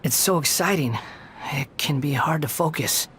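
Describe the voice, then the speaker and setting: A man's voice: fairly high pitch, moderate pace, a bit bright, a little hiss or hum, natural voice. A man voicing a polite, earnest young shop helper in a video game, with a deferential, apologetic manner. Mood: apologetic, sheepish. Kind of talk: English video game voice acting.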